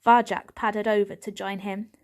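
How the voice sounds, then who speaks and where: A woman's voice: mid-range voice, little pitch movement, moderate pace. A woman reading a children's story aloud as scripted audiobook narration. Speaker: audiobook reader.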